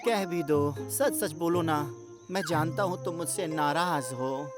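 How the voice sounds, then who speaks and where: An actor voicing an eager, clumsy young male train in an expressive, earnest way for a children's cartoon dub. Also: medium pitch, measured pace, music in the background, close to an everyday voice. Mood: guilty, downcast.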